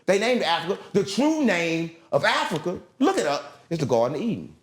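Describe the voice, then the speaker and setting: A man's voice: high-pitched, big pitch swings, fairly quick. A man giving a brash, rambling interview, swaggering and cursing as he talks. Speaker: interview guest. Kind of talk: heated interview talk.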